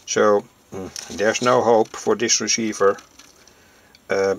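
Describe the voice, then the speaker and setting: A man from Europe giving an informal hands-on demonstration as a hobbyist presenter, speaking haltingly and often pausing mid-sentence. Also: on the low side, level tone, a little hiss or hum. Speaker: presenter, vintage radio hobbyist.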